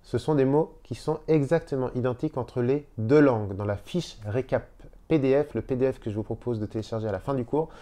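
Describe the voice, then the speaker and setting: A man who teaches Spanish, speaking in a casual video lesson from a hotel room and explaining slowly with repeated words. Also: medium pitch, warm tone.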